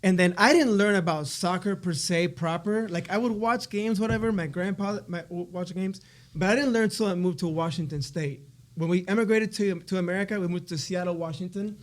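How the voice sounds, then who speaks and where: This is a man from Argentina co-hosting a casual podcast. His voice sits high for a man, and he talks in a joking, energetic way while steering the conversation. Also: brisk. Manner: joking, energetic, leads the questions. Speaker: podcast co-host. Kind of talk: casual chat. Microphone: studio podcast mic.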